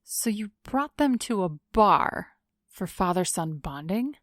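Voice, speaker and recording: A woman's voice: medium pitch, lively intonation, slow, no room sound, clean studio sound. An actress playing a tough, sardonic woman vigilante in an audio drama, with a cool, commanding manner. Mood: probing.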